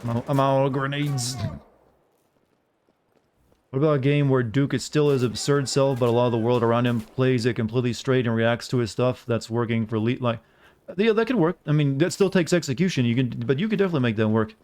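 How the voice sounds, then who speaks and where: A man's voice: mid-range voice, a little fast, darkish tone, slight background noise. A man from the southern United States with an American accent, giving casual, rambling live gameplay commentary with jokey, self-deprecating asides to viewers. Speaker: game streamer.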